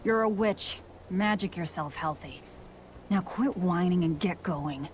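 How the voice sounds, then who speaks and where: A woman's voice: fairly low pitch, measured pace, a little hiss or hum, lightly acted. An actress playing a stern, no-nonsense woman who leads a crew in a video game, blunt and impatient.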